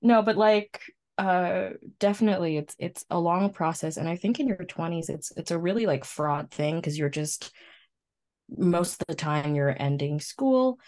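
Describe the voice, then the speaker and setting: A woman's voice: fairly low pitch, normal speed, darkish tone. A woman in her twenties chatting casually as a podcast co-host, reflective and conversational. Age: 20s. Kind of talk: casual chat.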